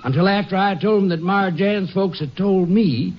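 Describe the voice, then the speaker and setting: A dull old radio recording features a male actor as a folksy Texas farmer. His voice is notably high, with an affable rustic drawl and a talkative manner suited to 1950s radio drama. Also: moderate pace, a little hiss or hum, close to an everyday voice. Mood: folksy, recounting.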